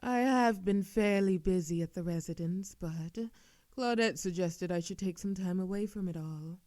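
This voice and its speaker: A woman playing a composed, wryly teasing old-world vampire in an audio roleplay, speaking in a soft, measured, knowing way. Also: on the low side, dry recording, natural voice. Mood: wry, curious. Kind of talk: scripted ASMR audio roleplay acting.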